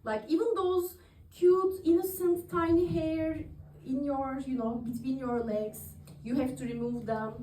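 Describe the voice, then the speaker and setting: A woman in her thirties from Turkey does stand-up on a club stage, chatty and quick to laugh at her own jokes. Her voice sits high, and she takes her time with the lines. The sound comes from a distance, with room echo. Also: steady tone, mellow. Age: 30s. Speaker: stand-up comedian. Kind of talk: open-mic stand-up comedy. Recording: echoey room recorded from afar.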